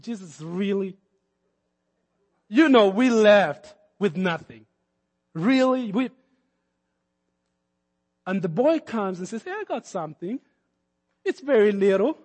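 A man from Kenya preaches in a high, ringing voice. His sermon climbs to forceful emphatic peaks, and he acts out the people in his stories. The sound carries a long hall reverb around him. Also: sing-song, a little slow, pauses often, breathy edge. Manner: preaching with rising emphatic peaks and acted-out quotes. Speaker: pastor.

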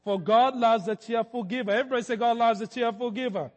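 A man's voice: very high voice, fast, long reverb tail. A man preaching as a pastor. He halts and repeats words often, then rises to emphatic peaks. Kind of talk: sermon.

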